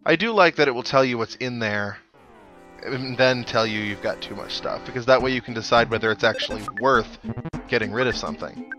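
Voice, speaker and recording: A man's voice: medium pitch, brisk, bright, forceful tone. A man giving casual let's play commentary, musing aloud and reading out on-screen text as he goes. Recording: game music behind the voice. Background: video game music.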